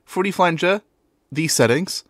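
A man presenting a software tutorial in an offhand, informal way, as a casual voice-over. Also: sits high, lively intonation, measured pace, no room sound, clean studio sound.

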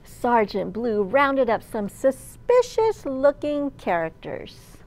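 Lady librarian doing kids storytime, high voice, really slow and animated. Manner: animated, slow and clearly enunciated. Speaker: children's librarian and storytime host. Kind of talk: picture-book storytelling for small children. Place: large indoor library room.

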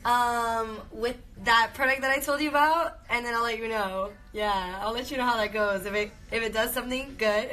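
A young woman with a slightly high, bright voice and a Cuban Spanish accent, talking into a phone held close. She chats loosely and easily, full of "um" and "like", switching between Spanish and English. She sounds like she is live-streaming from her bedroom. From Venezuela. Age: young adult. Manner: chatty, lots of fillers, laughs often. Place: bedroom indoors.

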